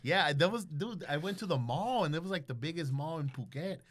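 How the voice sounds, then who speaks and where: A man's voice: on the high side, animated, a little fast. A man speaking casually as a podcast guest, telling stories in a blunt, profanity-laced way and acting out quoted dialogue. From Guatemalan background. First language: bilingual English and Spanish. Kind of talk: casual storytelling interview.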